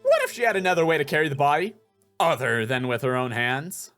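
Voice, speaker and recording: A man's voice: on the high side, very animated, brisk, a bit bright, soft background music. A man voicing an earnest, insistent young defense lawyer in a video game, with an emphatic delivery. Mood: accusing, emphatic. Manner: emphatic, declaratory.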